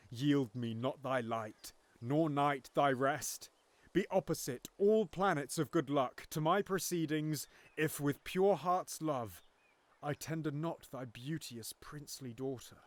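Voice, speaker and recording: Brief: a man as a scheming usurper king, slow and deliberate, measured stage delivery, dry close sound. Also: mid-range voice, no room sound, close to an everyday voice. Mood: solemn. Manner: pleading then bitterly contemptuous, with sudden hushed whispers. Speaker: actor in audio drama. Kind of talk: Shakespearean audio drama acting.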